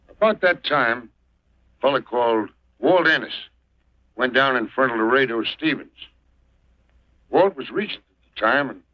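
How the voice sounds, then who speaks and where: An actor playing a shrewd, weathered old American marshal in a 1940s western film, with a folksy, deliberate, drily menacing way of talking. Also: male voice, mid-range voice, no echo, natural voice. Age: older. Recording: dull muffled old film soundtrack.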